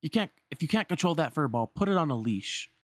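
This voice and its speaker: A man's voice: fairly high pitch, expressive, fast, no room sound, voice pushed up, natural voice. A man voicing an irritable, greedy street merchant from a game, scolding and self-important.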